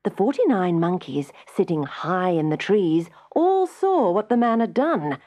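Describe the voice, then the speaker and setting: A woman reads a children's story as narrator, in a slightly husky voice. Her delivery is measured yet lively, with dramatic emphasis on key words. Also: fairly low pitch.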